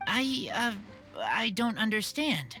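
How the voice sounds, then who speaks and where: Gentle feral boy, terse, simple, unhurried, expressive pitch, anime game dialogue. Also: long reverb tail, soft background music, exaggerated voice. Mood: confused. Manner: halting, terse, simple phrasing. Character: simple, gentle, earnest wild teenage boy.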